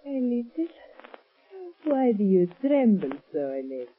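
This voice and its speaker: An actress playing an exotic, coolly menacing cobra-charming villainess in formal, deliberate, taunting 1930s radio drama style, on a muffled old recording. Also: female voice, on the high side, very animated, breathy edge, exaggerated voice. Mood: coolly soothing.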